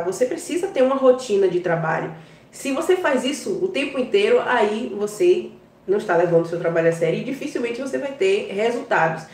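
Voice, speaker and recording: Bahian woman vlogging, talks chatty and keeps saying tá, fairly high voice, sounds a bit far from the mic. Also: roomy sound. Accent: Bahian Portuguese. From Brazil. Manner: chatty, keeps adding "tá?" to check in with viewers. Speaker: online business vlogger. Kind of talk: casual advice talk to viewers.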